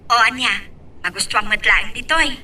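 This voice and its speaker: A woman's voice: mid-range voice, brisk, bright, forceful tone. A woman with an Ilocano accent playing a chatty, reassuring friend working abroad in an amateur audio drama. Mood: friendly. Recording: distant mic with background noise.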